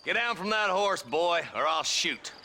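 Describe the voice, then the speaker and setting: An actor playing a steady, firm Old West lawman with an American accent in a video game. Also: high voice, measured pace, full character voice. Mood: stern. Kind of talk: dramatic video game acting. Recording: noisy game audio, horses outdoors.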